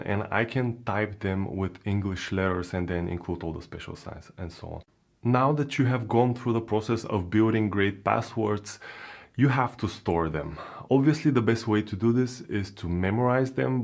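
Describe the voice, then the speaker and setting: A man with a Bulgarian accent, a native Bulgarian speaker, explaining online security step by step in a plain teaching manner with frequent fillers. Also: on the low side. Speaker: crypto security educator. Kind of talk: explainer video narration.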